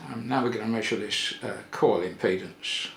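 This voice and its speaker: An older man giving a casual hands-on repair tutorial, thinking aloud in an unhurried way with pauses. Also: lowish voice, creaky, a bit bright. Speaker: electronics repair presenter. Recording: roomy echo with faint background noise.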